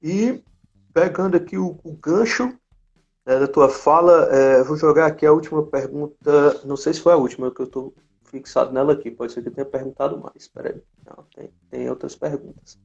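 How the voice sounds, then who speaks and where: A man's voice: a little high, fairly even intonation, normal speed, vocal fry, darkish tone. A man with a Brazilian accent hosting a casual live interview chat, recorded in lo-fi live-stream sound. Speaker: host of a company live stream. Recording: dull lo-fi live-stream audio, faint music.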